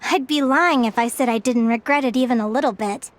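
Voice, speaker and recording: A woman's voice: a little high, fairly quick, slight background noise, lightly acted. A woman playing a cheerful, earnest young adventurer girl in a video game, sweet, perky and heartfelt. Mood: earnest, upbeat.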